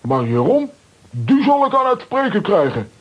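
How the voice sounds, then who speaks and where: An eager, bumbling cartoon-style hero in a radio play for children. His voice is high and swings widely as he declaims earnestly. The sound is slightly dull. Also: wildly swooping pitch, normal speed. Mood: determined.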